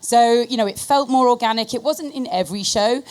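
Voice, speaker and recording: A woman's voice: a little high, brisk, darkish tone. A woman marketing executive giving explanatory, conversational answers as a panellist.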